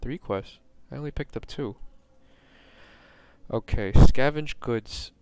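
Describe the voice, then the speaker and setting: A man's voice: mid-range voice, slow, smooth, dry recording. A young man from New York giving casual, rambling commentary while streaming a game, self-mocking and drifting off-topic. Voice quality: monotone.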